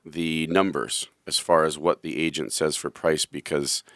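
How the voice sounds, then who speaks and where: Hoarse deep-voiced male radio host. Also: little pitch movement, unhurried, a bit bright, dry recording, clean studio sound. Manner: rambling storyteller, self-deprecating. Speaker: radio show host, real estate agent. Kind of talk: casual radio talk.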